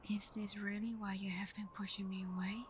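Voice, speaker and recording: A woman playing a tender, devoted vampire girlfriend in an intimate ASMR roleplay, speaking softly and breathily, often in a whisper, gentle and reassuring. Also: medium pitch, flat delivery, a little fast, a little hiss or hum, lightly acted. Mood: intrigued, tender.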